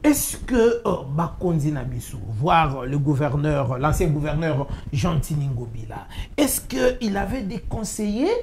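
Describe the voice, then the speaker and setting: A woman's voice: low-pitched, very animated, a little slow, hardly any pauses, a little mellow, a little hiss or hum. Probing woman host. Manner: probing, interjects with short prompts, mixes in Lingala. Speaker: talk-show host. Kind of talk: talk-show interview.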